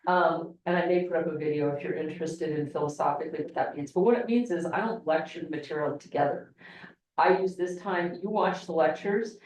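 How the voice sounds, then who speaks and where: Low-voiced woman lecturing in an echoey classroom. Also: brisk, darkish tone, distant mic. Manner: conversational, with frequent restarts and "okay". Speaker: engineering instructor.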